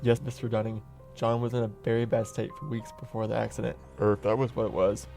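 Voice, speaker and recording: A man playing a bereaved brother in a radio drama, serious and measured with slight hesitations. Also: lowish voice, level tone, vocal fry, mellow. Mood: grave. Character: grave bereaved brother recounting suspicions. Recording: faint music under the voice.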